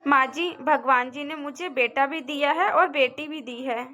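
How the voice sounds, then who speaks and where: Woman playing young daughter-in-law, high-pitched, polite, worried, earnest, pleading, cartoon family drama. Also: measured pace, bright, forceful tone.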